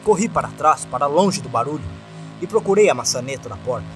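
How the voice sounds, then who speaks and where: A man's voice: medium pitch, animated, fairly quick. A man with a Brazilian accent narrating a scripted first-person horror story in a dramatic, suspenseful way. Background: background music.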